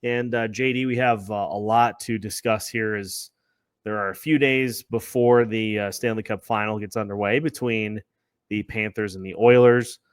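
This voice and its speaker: A man's voice: lowish voice, steady tone, moderate pace, clean tone, dry recording. Male sports podcast host, dry close sound. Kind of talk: conversational sports discussion with scripted-sounding intro.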